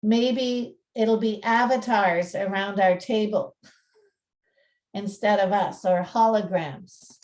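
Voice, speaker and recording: A woman's voice: medium pitch, slow pace, a little mellow, recorded from a distance. A woman presenting an informal talk in a chatty, self-deprecating manner. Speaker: museum presenter and radio play narrator. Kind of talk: informal talk with slides and dramatic reading.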